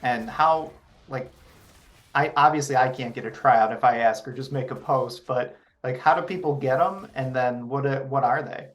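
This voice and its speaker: A man's voice: mid-range voice, moderate pace, lo-fi sound. A man hosting an interview, asking questions in a friendly, hesitant way with lots of fillers. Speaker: interviewer, channel host.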